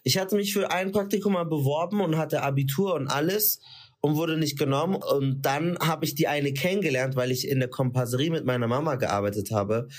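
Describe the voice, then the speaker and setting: Slightly high man, chatty. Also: a little fast. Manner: chatty, mixes in English words. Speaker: interviewee. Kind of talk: casual conversation.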